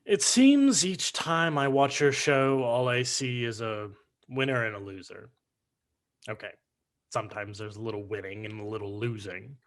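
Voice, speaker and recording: A man playing a reflective son who recites his journal in a staged play reading, measured and deliberate, over a video call. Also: mid-range voice, close to an everyday voice. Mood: earnest, musing.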